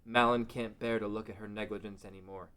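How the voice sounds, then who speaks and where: A man's voice: fairly low pitch, steady tone, brisk, bright, forceful tone. A man reading dramatic scripted narration for a fantasy story as its narrator.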